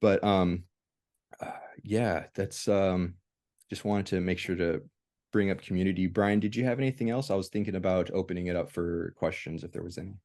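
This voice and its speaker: A man hosting a casual, reflective group discussion, speaking haltingly and often circling back to rephrase himself. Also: sits low, fairly even intonation, a little mellow. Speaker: host of a veterans' discussion group.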